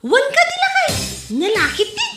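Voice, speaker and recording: A woman's voice: very high-pitched, wildly swooping pitch, normal speed, loud music behind the voice, full character voice. A woman playing a chatty, vain wife and mother with an Ilocano accent, bantering expressively in a comedy drama. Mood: defensive, playful. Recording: roomy, echoey home recording.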